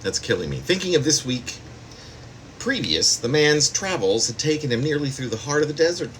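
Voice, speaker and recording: A man's voice: fairly high pitch, big pitch swings, normal speed, a bit bright, slight background noise. A man reading his own poem aloud as a poet, in a spoken poetry-reading style.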